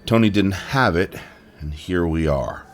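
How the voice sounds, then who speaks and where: A man's voice: expressive, a little slow. A man playing a weary, plain-spoken middle-aged police detective in an audio drama. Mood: blunt. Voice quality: deep voice. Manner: plain-spoken, blunt, emphatic. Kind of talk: naturalistic audio drama acting.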